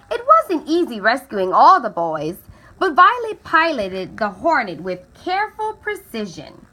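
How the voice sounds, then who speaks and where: A woman's voice: high voice, pushed and bright, slightly rough. A woman reading a children's picture book aloud expressively, drawing out key words for dramatic effect. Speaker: storybook reader.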